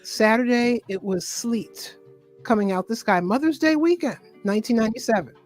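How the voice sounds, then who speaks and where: A woman's voice: a little high, normal speed, faint music behind. A woman, a guest telling stories in a casual chat. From Queens, New York. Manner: chatty, warm affirmations, storytelling. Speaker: guest, wellness coach and poet.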